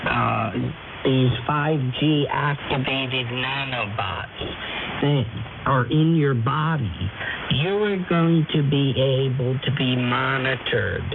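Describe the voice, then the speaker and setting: A woman guest explaining things in a radio conversation, halting and repeating words for emphasis. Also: extremely low pitch. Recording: very noisy, tinny phone-like radio line.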